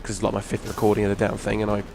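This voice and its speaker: A hoarse young man, rambling casually through a video game weapon review. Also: lowish voice, completely flat delivery, racing, noisy background. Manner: rambling, restarts a lot, casual swearing. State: hoarse from a cough. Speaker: gaming channel host. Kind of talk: casual gameplay commentary.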